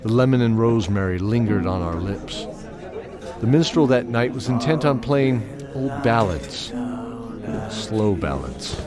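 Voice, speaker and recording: A man playing a brooding old knight who tells his own tale in a measured, reflective, dramatic way for an audio drama. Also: medium pitch, lively intonation, over music, a crowd in the background, lightly acted. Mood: wry, reminiscing. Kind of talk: first-person audio drama narration.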